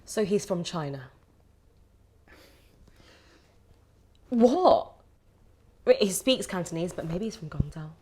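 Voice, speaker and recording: A sardonic, probing woman who teases her partner in a bickering romantic drama, played by an actress. Her voice is fairly low and her delivery naturalistic, with some ambient noise behind it. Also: animated, moderate pace, mellow, close to an everyday voice. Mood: needling. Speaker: actor. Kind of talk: naturalistic stage drama dialogue. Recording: clear ambient background noise.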